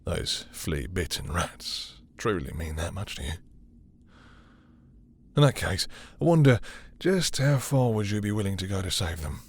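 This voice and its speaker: Man playing a deep, husky, seductive male vampire. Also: exaggerated voice. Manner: taunting, drawling, with breathy sighs and lingering pauses. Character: dominant, possessive, seductive male vampire with a menacing sadistic streak. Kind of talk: intimate audio roleplay acting, spoken to the listener.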